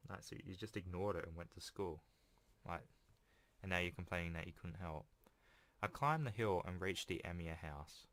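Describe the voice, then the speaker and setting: A man's voice: low-pitched, normal speed, a bit bright. A man hosting a video game playthrough, reading a visual novel aloud with casual, self-deprecating asides. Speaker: let's play host.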